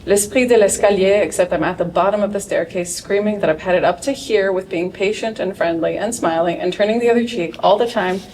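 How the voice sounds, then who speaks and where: A woman reads a first-person story aloud with dry wit, sliding into sharp, exasperated bursts. She sits at middling pitch for a woman. The mic is some distance away in a large room, with faint hiss behind. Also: brisk, hardly any pauses. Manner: dry, wry delivery with sudden sharp outbursts. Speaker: translator reading her work. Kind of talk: expressive reading of translated fiction. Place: event stage before an audience.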